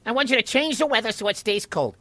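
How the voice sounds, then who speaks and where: A woman's voice: fairly high pitch, expressive, fast talker, bright, forceful tone, no room sound. A woman playing a plucky, chatty water molecule living inside a snowman, matter-of-fact and pushy, in a children's audio drama. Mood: urgent, pleading.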